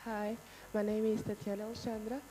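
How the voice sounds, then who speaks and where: A woman in her late teens with a mid-range voice speaks hesitantly, pausing with fillers as she searches for words. A buzzing hum runs under her voice. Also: mid-range voice, flat delivery, mellow, rough recording. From São Tomé and Príncipe. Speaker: pageant contestant.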